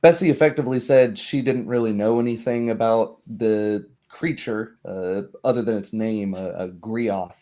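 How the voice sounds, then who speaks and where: A man narrating and recapping a tabletop roleplay as game master, often pausing to search for words. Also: fairly low pitch, steady tone, warm tone.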